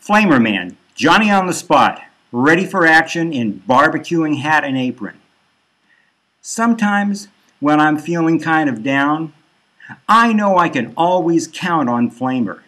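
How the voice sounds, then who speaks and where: A man reading his own dark satirical novel as a first-person audiobook narration. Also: a little high, animated, a little slow.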